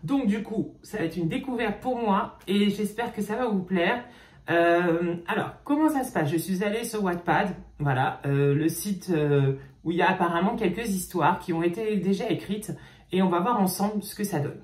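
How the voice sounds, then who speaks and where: Youtuber lady reading fanfic out loud, chatty, stops a lot to comment, echoey room. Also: fairly low pitch. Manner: chatty, reading slowly, with frequent "ok" and hesitations. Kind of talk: reading fan fiction aloud with comments. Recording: roomy echo.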